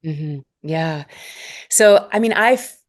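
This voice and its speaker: A woman in her forties from Northern Alberta, a spiritual mentor speaking as a guest in a slow, measured, reflective way. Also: sits low, animated. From Northern Alberta, Canada. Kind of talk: reflective interview conversation.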